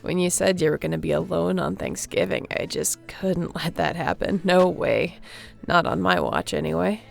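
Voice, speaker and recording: A woman playing a friendly, easygoing young surfer girl in an audio roleplay, chatting casually and welcomingly with a creaky voice and laid-back slang. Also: lowish voice, animated, normal speed, faint music behind. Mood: cheerful, welcoming.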